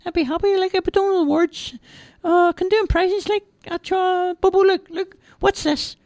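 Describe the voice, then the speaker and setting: A man voicing a simple, innocent big young man in a high, childlike, giggly voice. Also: steady tone, moderate pace, a little mellow, no echo, studio quality. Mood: cheerful childlike.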